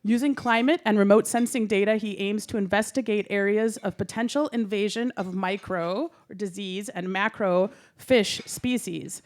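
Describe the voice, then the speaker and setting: Smooth-voiced woman hosting with a giggle. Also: medium pitch. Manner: chatty and giggly, with many fillers. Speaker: event host. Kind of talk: casual introduction to a live audience.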